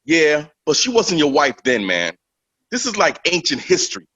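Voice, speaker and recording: Cocky singer dude, defensive, husky, kinda dull lo-fi audio. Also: male voice, a little high, huge pitch swings, normal speed, bright, forceful tone, full character voice. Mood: dismissive. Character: defensive, cocky young male singer, dismissive ex-lover. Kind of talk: radio drama acting.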